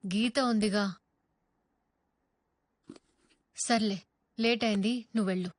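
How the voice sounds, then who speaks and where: A woman's voice: medium pitch, steady tone, brisk, no room sound, natural voice. An actress playing a caring but firm mother in naturalistic film dialogue. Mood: gently firm. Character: caring, firm mother telling children stories.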